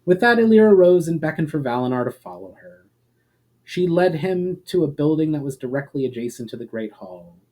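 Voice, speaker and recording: Man reading fantasy, mellow voice. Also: a little high, expressive, a little fast. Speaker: author reading a novel chapter aloud. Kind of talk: dramatic storybook narration.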